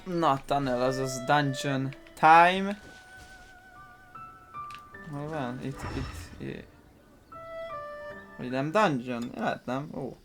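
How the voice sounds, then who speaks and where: A man's voice: medium pitch, slow, long pauses. A man doing casual, sweary reaction commentary over video gameplay. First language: Hungarian. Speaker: gaming YouTuber. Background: game music.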